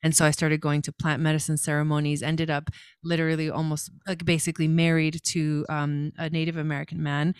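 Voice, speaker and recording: A young woman speaks in a smooth, resonant voice that sits a little low. She tells her story casually and reflectively, wandering from thought to thought. The sound is very dry and close, with no room around it. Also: little pitch movement, a little fast, no room sound. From Los Angeles area, California. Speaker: guest.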